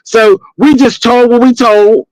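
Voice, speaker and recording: A man's voice: very high voice, steady tone, a little slow, darkish tone, no room sound, poor audio. A man in his fifties chatting on a live stream, sassy and blunt, telling gossip with some cussing. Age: 50s. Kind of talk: casual chat and storytelling.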